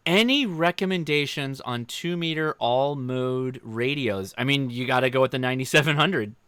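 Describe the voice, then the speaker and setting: Male American livestream host, crisp and bright delivery, informal and chatty, speaking straight to viewers. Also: medium pitch, moderate pace, no room sound. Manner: informal, joking, addresses viewers directly. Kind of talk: casual livestream chat, answering viewer questions.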